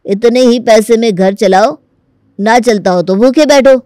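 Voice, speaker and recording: A woman voicing a bold, scheming young family man in a Hindi animated story, exasperated and persuasive in a colloquial way. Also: on the high side, measured pace, no room sound, clean studio sound, natural voice. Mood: exasperated, sarcastic.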